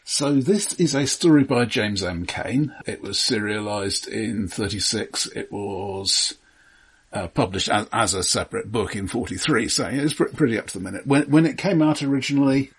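Man, podcast co-host, raspy voice, measured and informative, dry humor. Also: lowish voice. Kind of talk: casual film podcast chat.

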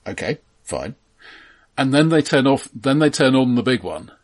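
A man co-hosting a film podcast, speaking casually in a dry, analytical, halting way, often repeating words. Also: medium pitch, sing-song.

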